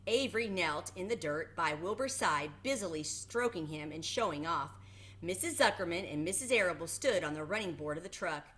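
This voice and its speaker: A woman reading a children's story aloud as an expressive narrator. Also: fairly low pitch, brisk.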